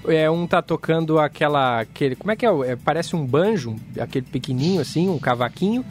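A man's voice: a little high, animated, brisk, soft background music. A man co-hosting a radio show, casually chatting, teasing his partner and introducing listener messages. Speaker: radio host. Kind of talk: casual on-air chat, reading listener messages and ads.

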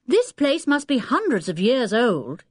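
A level-headed boy who takes charge, speaking reasonably and firmly to the others. A woman reads him with lively, shifting pitch in a close, dry recording. He sounds steady rather than excited. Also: moderate pace, no room sound, lightly acted. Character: sensible, steady older boy, the group's leader.

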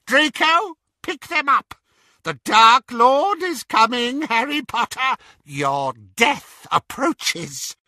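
A man's voice: sing-song, slow pace, sharp tone, dry recording, clean studio sound, exaggerated voice. A man voicing a cruel, sneering female witch who shrieks and bawls. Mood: gloating. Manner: shrill, bawling, sneering.

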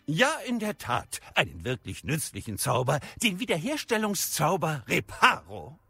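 A genial, eccentric spell teacher in an audio drama. His voice is strikingly husky and rather high for a man, swooping with theatrical courtesy. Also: huge pitch swings, moderate pace, pushed and bright, no room sound, soft background music, exaggerated voice. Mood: genial, instructive. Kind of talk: German audio drama acting.

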